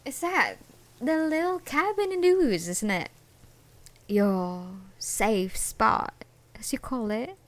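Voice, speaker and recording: A woman's voice: a little high, expressive, slow pace. A woman acting an obsessed, menacing ex-girlfriend in an ASMR roleplay, with a mock-tender, taunting manner. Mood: gleeful, taunting. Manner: mock-tender, taunting, breaking into whispers and laughs. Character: obsessed, menacing yandere ex-girlfriend stalking her lover.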